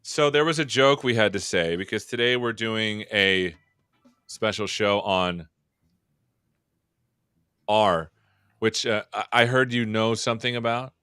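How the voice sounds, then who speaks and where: A man's voice: lowish voice, a little slow, pauses often, a bit bright, clean tone, no room sound, studio quality. A man hosting a live tech show, chatting casually and joking as he welcomes viewers. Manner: jokey, rambling and upbeat. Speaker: show host. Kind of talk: casual live-stream chat.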